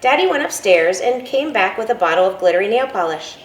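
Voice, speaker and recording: A school principal reads a picture book aloud, her voice rising and falling with lively expression. She is recorded from a distance in an echoey room, with faint ambience behind. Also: mid-range voice, fairly quick, slight background noise. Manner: expressive storytelling.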